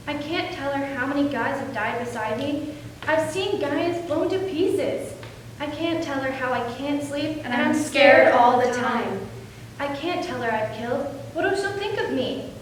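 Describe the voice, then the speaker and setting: A woman playing a frightened, haunted young male soldier writing home from war in a readers theater piece. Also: high-pitched. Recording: echoey hall from far off, faint noise.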